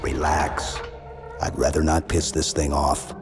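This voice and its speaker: Calm armored soldier, low, clipped, music behind. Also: male voice, unhurried. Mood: terse. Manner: terse, dry, clipped. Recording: game score, voice set back.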